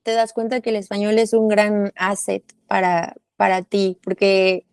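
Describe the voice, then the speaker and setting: Young Mexican woman, mid-pitch, interview guest, halting and thoughtful, dry room. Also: completely flat delivery, a little mellow, clear, resonant tone, no room sound. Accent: Mexican Spanish. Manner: thoughtful, many fillers and restarts. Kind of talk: casual interview.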